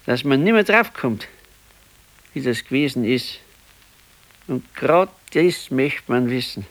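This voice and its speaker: An old Bavarian husband in a radio drama, looking back wistfully. He speaks slowly and haltingly, turning things over, with a melody that rises and falls widely. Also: medium pitch, expressive. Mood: musing, wistful. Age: older. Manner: halting, ruminative. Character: ageing husband, musing wistfully over his past. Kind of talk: naturalistic radio drama acting.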